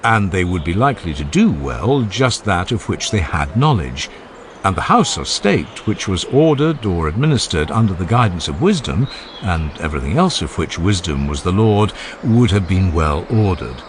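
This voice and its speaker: A man reads a dramatized classical dialogue in a deep voice with very animated intonation. His delivery is formal, measured and emphatic. Faint ambient noise sits behind him. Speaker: audiobook narrator. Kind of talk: dramatized scripted reading of a classical philosophical dialogue.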